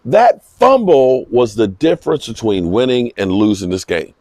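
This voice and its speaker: A man with a slightly hoarse voice giving unscripted, emphatic sports commentary, pausing mid-sentence for emphasis. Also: medium pitch, lively intonation, a little mellow, no echo. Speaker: football vlogger giving post-game reaction. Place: outdoors in misty rain.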